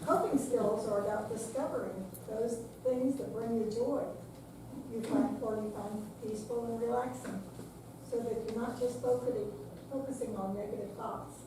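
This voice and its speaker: A woman's voice: a little high, steady tone, normal speed, lightly acted. An older woman in a staged reading, playing a curious, supportive friend with an emphatic, inquisitive way of speaking. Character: curious, supportive female friend asking blunt questions. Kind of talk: scripted dramatic reading. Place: senior center room, live performance. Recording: echoey room, distant mic, clear background noise.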